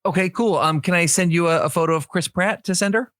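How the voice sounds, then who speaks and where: A man's voice: high-pitched, quick pace, background noise, lightly acted. A man playing an eager dating-app caller. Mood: insistent. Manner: insists too hard he sounds manly.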